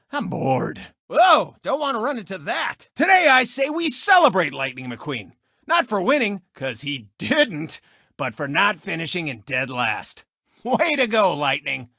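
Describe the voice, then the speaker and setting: An actor voicing a cocky, gloating race car turned sportscaster in a video game, with a boastful, mocking announcer delivery. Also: male voice, high voice, big pitch swings, measured pace, gravelly, pushed and bright, no room sound, clean studio sound, exaggerated voice.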